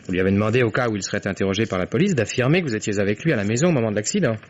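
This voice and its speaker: A man's voice: lowish voice, lively intonation, rapid-fire. An actor playing a young male investigator in an old French radio mystery, formal, methodical and pointedly accusing. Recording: dull, noisy vintage radio recording.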